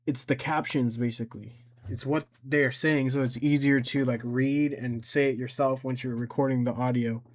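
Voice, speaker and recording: A man's voice: mid-range voice, fairly even intonation, moderate pace. A man hosting an informal, improvised step-by-step screen tutorial, thinking aloud as he goes. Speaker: tutorial host.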